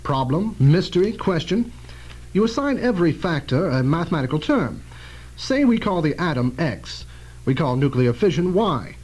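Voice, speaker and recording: Radio drama inventor, male actor: proud, cautious scientist, high-pitched and lively, emphatic explanations. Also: normal speed, husky, poor audio. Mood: explanatory, proud.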